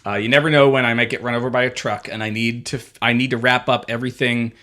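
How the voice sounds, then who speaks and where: Man in his thirties, podcast co-host: bright, forceful timbre, meandering delivery with lots of restarts. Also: medium pitch, steady tone. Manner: rambling, halting, full of fillers and restarts. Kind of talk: casual comedic chat.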